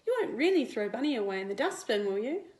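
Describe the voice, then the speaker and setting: A woman voicing a concerned, excitable little girl in a picture book, exclaiming and questioning anxiously. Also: a little high, quick pace. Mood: pleading. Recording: roomy indoor echo.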